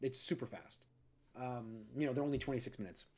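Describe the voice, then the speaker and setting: A man hosting a casual podcast at his studio mic, his mid-range voice a touch raspy from a recent cold. He talks in loose, rambling runs, stopping and restarting often. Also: medium pitch, fairly quick, darkish tone. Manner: rambling, many restarts and fillers. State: recovering from a cold, slightly raspy. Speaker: podcast host. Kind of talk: casual chat.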